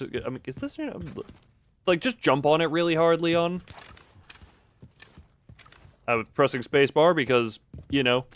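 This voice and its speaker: A man giving casual, rambling live commentary as he plays a video game, with jokey exclamatory asides. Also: mid-range voice. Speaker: live streamer playing a horror game.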